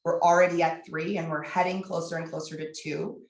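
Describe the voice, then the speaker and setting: A woman giving a candid, energetic talk as a guest speaker, her voice husky from laryngitis, with frequent fillers. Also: on the low side, a little fast, roomy sound. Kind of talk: public lecture.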